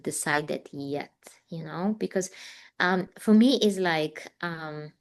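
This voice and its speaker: A woman in her forties from Poland with a Polish accent, chatting in an interview as a guest artist and rambling with fillers. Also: low-pitched. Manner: rambling, warm, frequent fillers and laughs. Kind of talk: casual interview chat.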